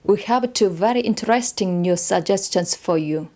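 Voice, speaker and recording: A woman's voice: medium pitch, normal speed, darkish tone, close to an everyday voice. A woman playing a loyal, formal weapons scientist of an empire, giving orderly briefings in a game cutscene. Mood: formal, dutiful.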